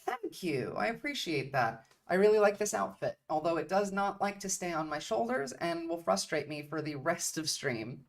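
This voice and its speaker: A woman's voice: fairly low pitch, big pitch swings, normal speed, hardly any pauses. A woman from Turkey giving blunt, profane livestream commentary on politics, repeating her points for emphasis. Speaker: streamer.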